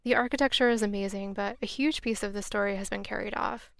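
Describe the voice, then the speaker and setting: A woman's voice: mid-range voice, steady tone, fast talker, creaky, dry recording. A woman hosting a podcast, reading scripted travel narration in a reflective, wry, earnest way, on a close mic. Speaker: podcast host.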